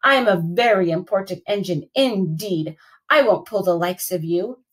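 A woman voicing a big, boastful male freight engine in a pompous, booming manner in a children's storybook. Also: lively intonation, normal speed, close to an everyday voice. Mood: haughty.

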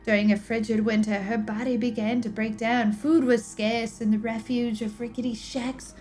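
Young female, higher-pitched, dramatic lore narration with weighty pauses, recorded close over faint music. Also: flat delivery, a little mellow. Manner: theatrical, drawn-out emphasis with pauses. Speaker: streamer reading game lore aloud. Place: small indoor room, livestream setup.

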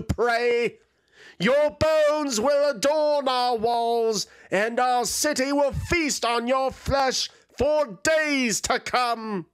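A proud female draconid warrior from a fantasy storybook, voiced by a man. She shouts defiant threats in a voice pitched high above the narrator's, with a changed colour. Each threat comes slow and heavy with pride. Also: smooth, exaggerated voice.

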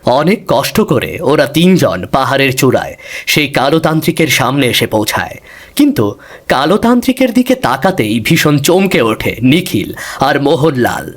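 A man's voice: mid-range voice, sing-song, moderate pace. A man narrating a scripted fairy tale as storyteller.

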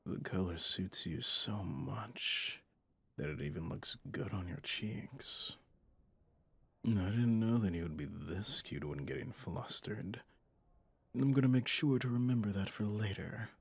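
Man playing flirty, dangerous mafia boss for ASMR: unhurried, husky, intimate, close and very dry sound. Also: no room sound, heavily acted. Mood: flirtatious, smug. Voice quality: low, husky, often dropping to a whisper. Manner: slow, teasing, intimate, with pet names. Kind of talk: ASMR roleplay acting. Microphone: close studio microphone, dry.

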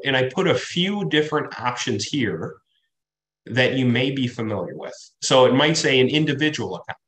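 A man's voice: mid-range voice, a bit bright. A man with a cold-stuffed voice, a financial planner giving a conversational webinar full of "right?" and "uh". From Midwest US. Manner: conversational, frequent "right?" and "uh" fillers. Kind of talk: webinar presentation. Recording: roomy office echo.

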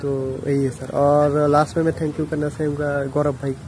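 A man's voice: fairly high pitch, little pitch movement, moderate pace, a little mellow, low-quality recording. A young man from Assam, India, recording a hesitant fan message outdoors on his phone. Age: young adult. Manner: hesitant, repeating himself. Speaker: fan sending a video message. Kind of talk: selfie video message. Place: outdoors under a shed roof. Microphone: phone camera at arm's length. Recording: phone video with outdoor noise.

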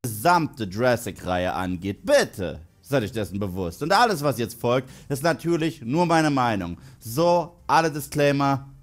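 A man's voice: mid-range voice, very animated, a little slow, hardly any pauses. A man in his thirties presenting scripted film commentary to camera, emphatic and tongue-in-cheek. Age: 30s. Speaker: online film critic and presenter. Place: indoor studio with dark backdrop.

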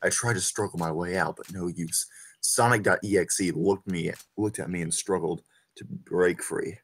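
A man's voice: low-pitched, steady tone, normal speed, husky. A man reading a story aloud informally, stumbling now and then over the text and speaking in a casual, amateur way. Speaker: reader of fan fiction over gameplay.

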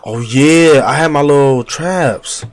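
A young man livestreaming a game on a headset, chatting loosely in slang and trash-talking enemies, his voice deeper while getting over a cold. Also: takes it slowly. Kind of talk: casual gameplay commentary. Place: home gaming setup, indoors.

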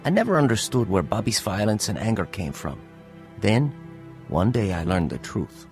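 Radio drama narrator: earnest first-person confession, lowish voice, animated intonation. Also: male voice, normal speed, soft background music. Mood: confessional. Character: earnest man recounting his troubled youth.

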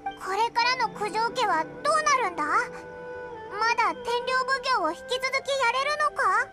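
Childlike game mascot fairy, brash, cheerful, very high pitch, swooping intonation, prominent music. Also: female voice, animated, moderate pace. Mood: curious. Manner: blunt, casual, childlike speech. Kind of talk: video game dialogue acting. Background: game background music.

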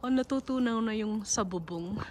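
A woman's voice: a little high, moderate pace. A woman from the Philippines with a Filipino accent, chatting casually and giggly as she narrates a family vlog, with frequent exclamations. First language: Filipino (Tagalog). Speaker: family vlogger filming. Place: outdoors in a snowy yard. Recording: noisy outdoor camera audio.

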